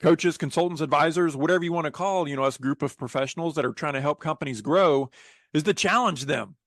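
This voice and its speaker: Slightly high-pitched man, conversational interviewer. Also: fast, fairly bright tone, no echo, clean studio sound. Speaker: show host, interviewer.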